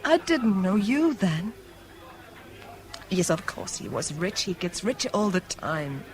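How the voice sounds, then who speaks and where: A woman's voice: mid-range voice, very animated, slow pace. An actress playing a jittery, evasive young woman, a rich man's mistress, in a radio drama, articulate and defensive. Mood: guarded, wistful. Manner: tense, defensive, articulate and wistful. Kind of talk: 1970s British radio drama acting.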